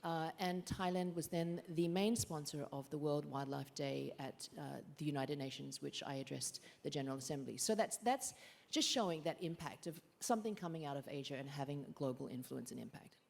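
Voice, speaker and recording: A woman with a notably low voice speaks earnestly on a conference panel. She tells her campaign story and says "uh" and "um" along the way. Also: brisk, vocal fry, hardly any pauses. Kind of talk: panel discussion answer. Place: conference stage.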